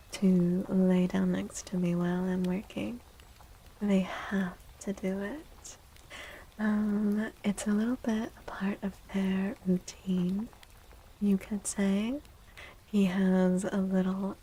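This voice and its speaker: A woman livestreamer chatting in a soft, breathy ASMR style, gentle and unhurried, often dropping into whispers close to the microphone. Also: medium pitch, a little hiss or hum, rough recording.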